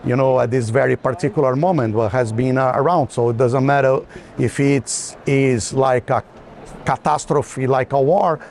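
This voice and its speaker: A man's voice: medium pitch, fairly even intonation, moderate pace, vocal fry, a little mellow. A man speaking as an art collector in informal conversation, hesitant and often restarting his phrases. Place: art fair, walking tour. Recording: background noise of a busy hall.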